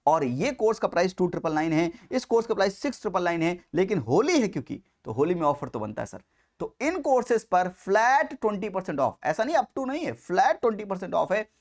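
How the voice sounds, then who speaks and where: Animated Indian male coaching teacher. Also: sits high, fairly quick. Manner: emphatic, repeats key points. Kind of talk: lecture mixing Hindi and English.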